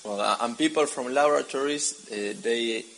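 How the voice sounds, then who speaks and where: A man interviewing a guest, halting as he speaks, repeating words and saying "eh" between phrases. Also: medium pitch, gravelly, a bit bright, low-quality recording. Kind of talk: interview questions.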